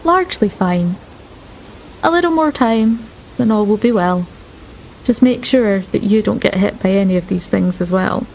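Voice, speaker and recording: A man voicing a calm, reassuring ship's doctor in a much higher voice than his own, in a sci-fi tabletop game. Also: normal speed, vocal fry, a little hiss or hum, poor audio.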